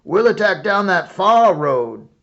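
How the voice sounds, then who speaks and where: A man playing a bold cavalry officer in a storybook, barking loud, urgent orders. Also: high-pitched, very animated, slow, dull audio, pitched above the performer's own voice, exaggerated voice. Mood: alarmed command.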